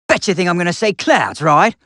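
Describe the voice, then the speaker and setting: Paranoid, cantankerous old eccentric. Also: male voice, sits high, a little fast, dry recording, studio quality. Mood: excitable, paranoid. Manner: folksy, rambling, excitable, grumbling. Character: eccentric, cloud-paranoid old man, cantankerous ranting inventor. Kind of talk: video game character acting.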